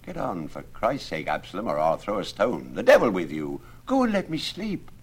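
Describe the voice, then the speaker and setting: A man voicing a scornful, sharp-tongued young wife who mocks her suitor. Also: fairly low pitch, wildly swooping pitch, moderate pace, vocal fry, a little hiss or hum, full character voice. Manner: curt, mocking.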